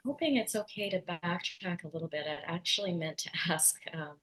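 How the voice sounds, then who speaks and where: A woman moderating a panel, asking questions gently and carefully. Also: fairly low pitch, normal speed.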